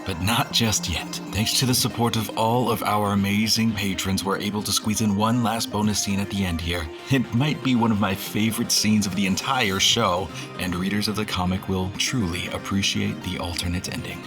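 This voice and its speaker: A man's voice: lowish voice, fairly even intonation, moderate pace, hardly any pauses. A man speaking as a show's creator and host in a scripted outro, earnestly thanking and addressing his listeners. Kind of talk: scripted outro announcement over music. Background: music bed.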